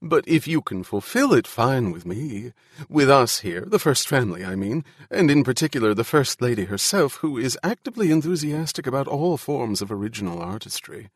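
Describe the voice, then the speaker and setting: A man's voice: medium pitch, lively intonation, unhurried, hardly any pauses, husky, dry recording, studio quality. A man voicing a genial, rotund male government official in an audiobook, bubbly and officious.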